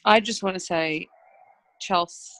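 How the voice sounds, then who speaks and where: Teary woman, soft and halting. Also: lowish voice, no echo, poor audio. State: emotional, near tears. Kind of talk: casual group video chat.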